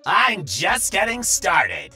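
Cartoon demon villain: shrill, piercing, wildly swooping, brash and mocking, over music. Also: male voice, very animated, moderate pace, exaggerated voice. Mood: defiant. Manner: mocking, loud, screeching, theatrical. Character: brash, irritable floating one-eyed demon triangle villain, mocking and arrogant. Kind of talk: animated story narration character voice.

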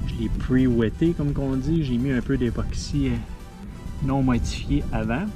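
A man's voice: mid-range voice, moderate pace, over music. A man in his thirties with a Québécois French accent, casually talking through a fiberglass repair step by step while he works outdoors in a boatyard. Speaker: sailing vlogger. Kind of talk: hands-on repair demo. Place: outdoor boatyard under a hull. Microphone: action camera close up.

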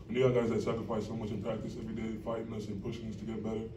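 A man's voice: fairly low pitch, very fast. A young man, a college basketball player, answering at a postgame press conference in an earnest, rambling way. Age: young adult. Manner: earnest, rambling with many fillers. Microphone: table mic, recorded from across the room. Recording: echoey room, distant mic, steady noise.

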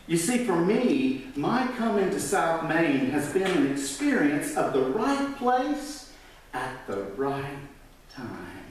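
A man's voice: a little high, very animated, takes it slowly, a little hiss or hum. A middle-aged man, a pastor preaching a sermon at the pulpit, swelling up and then dropping to a near whisper. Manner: gestures widely at the pulpit. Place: large church sanctuary. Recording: echoey church from a distance.

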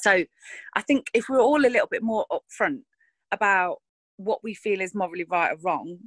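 A woman's voice: mid-range voice, animated, normal speed, a bit bright, no room sound. Frank woman, dry close sound. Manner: frank, self-deprecating, thinks aloud with restarts. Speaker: actress interviewed about her roles. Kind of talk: casual interview chat.

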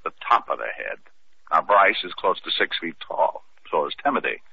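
A tough private eye with a very deep voice, as an actor plays him on an old radio show. He drops dry wisecracks, and the tinny line carries a faint hiss. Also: sing-song, normal speed, gravelly, heavily acted. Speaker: narrator. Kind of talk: 1940s radio acting.

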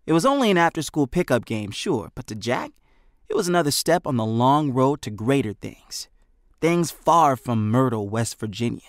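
A man's voice: medium pitch, very animated, normal speed, no echo. Dry-sounding American male audiobook narrator. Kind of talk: scripted storybook narration.

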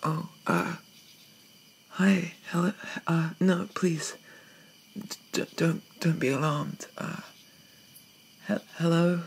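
A man playing a shy, gentle, polite Victorian zombie in a soft-spoken ASMR roleplay, stammering and hesitant with old-fashioned courtesy. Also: fairly high pitch, fairly even intonation, takes it slowly, creaky, slight background noise. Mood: nervous, eager.

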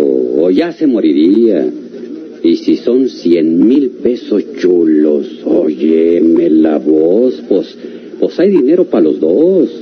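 An actor playing a greedy, wheedling, falsely friendly rancher with a rural northern Mexican accent in an old radio drama. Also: male voice, sits low, heavily acted. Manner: coaxing, drawn-out folksy talk with interjections. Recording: dull, noisy old radio recording.

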